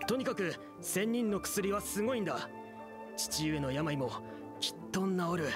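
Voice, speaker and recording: A man's voice: sits high, expressive, a little slow, music in the background. A man playing an earnest, gullible villager who is an awestruck believer, in anime game acting. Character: fervent male villager, gullible devoted believer.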